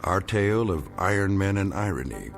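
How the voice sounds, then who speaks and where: A man narrating a scripted drama anthology in a solemn, measured, wry storytelling manner. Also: deep voice, lively intonation, creaky. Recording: music behind.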